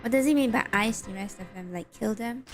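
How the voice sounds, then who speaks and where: A woman's voice: a little high, a little fast, faint music behind. A young woman with a Malaysian accent, streaming a game and chatting casually with her viewers while reading game text aloud.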